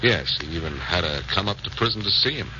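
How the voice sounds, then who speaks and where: An American actor playing a suave, wisecracking, confident detective in a 1940s radio drama. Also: male voice, sits low, expressive, fast. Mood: explaining, earnest. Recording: muffled, noisy old radio recording.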